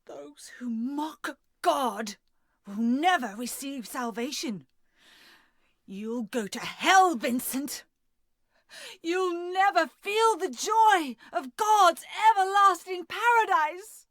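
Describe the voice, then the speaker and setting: An actress playing a fervent, sorrowful religious fanatic in a video game, solemn and intense. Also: female voice, sits high, animated, takes it slowly, no room sound, studio quality. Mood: bitter grief. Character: fervent, sorrowful, fanatically devout cult woman. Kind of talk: video game cutscene acting.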